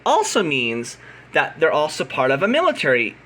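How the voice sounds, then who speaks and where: A man's voice: fairly high pitch, huge pitch swings, normal speed, bright, forceful tone, a little hiss or hum. An American man giving an unscripted, opinionated explainer in a blunt, combative, emphatic manner. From United States. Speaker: radio signal hobbyist.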